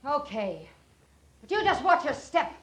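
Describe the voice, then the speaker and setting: An actress playing a brassy, sharp-tongued, scornful chorus girl in a 1940s radio drama. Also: high voice, sing-song, a little slow, full character voice. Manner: snappish, contemptuous. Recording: faintly noisy old radio recording.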